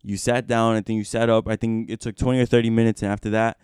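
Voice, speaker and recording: A man's voice: on the low side, little pitch movement, fast talker, a little mellow, clean tone, no room sound, clean studio sound. A man in his twenties chatting casually in a laid-back, slangy way, polite when he asks questions. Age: 20s. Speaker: former student. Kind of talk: casual conversation.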